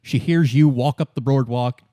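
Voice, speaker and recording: A man's voice: medium pitch, normal speed, mellow, dry recording, studio quality. A man improvising narration as a tabletop game master, conversational and often rephrasing as he goes.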